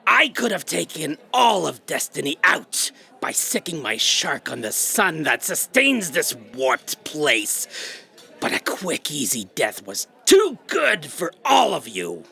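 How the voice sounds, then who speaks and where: An unhinged invisible villain, rasps through an audio drama. The delivery is slow and grandiose, with heavy creak and big swings in pitch. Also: male voice, pushed and bright, exaggerated voice. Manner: melodramatic, grandiose. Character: bitter invisible male writer villain, theatrically unhinged.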